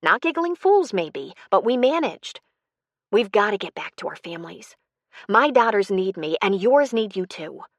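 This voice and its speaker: A woman's voice: mid-range voice, sing-song, normal speed, dry recording, muffled recording, voice pushed up. A woman voicing a frank, consoling older woman with an earnest, pleading, emphatic delivery in an audiobook story.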